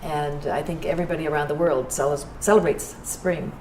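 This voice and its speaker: An older woman from Edmonton, giving thoughtful, unhurried interview answers as an arts venue owner. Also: sits low, steady tone, a little mellow, slight background noise. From Edmonton, Canada. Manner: thoughtful, unhurried, searching for words. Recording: roomy sound, distant mic, background music.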